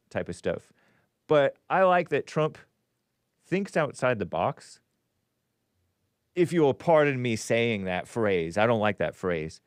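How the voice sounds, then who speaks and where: A man's voice: mid-range voice, smooth, dry recording, studio quality. A man hosting a call-in talk show, rambling through the news with opinions and frequent restarts. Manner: rambling, opinionated, self-interrupting. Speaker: host. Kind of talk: casual talk radio monologue.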